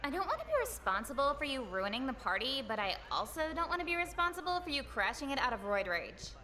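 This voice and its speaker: A woman's voice: high-pitched, very animated, fast talker, bright, forceful tone, heavily acted. A woman voicing a snarky, blasé teenage schoolgirl in a visual novel game, dry and catty. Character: snarky, blasé teenage girl, popular student.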